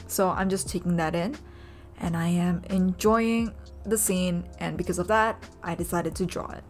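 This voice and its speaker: A young woman with a lower voice narrates a sketching vlog at an easy, rambling pace, often pausing with ums. She is a native Indonesian speaker talking in English, with soft music playing under her voice. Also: steady tone. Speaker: vlogger and urban sketcher. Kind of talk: casual voice-over narration.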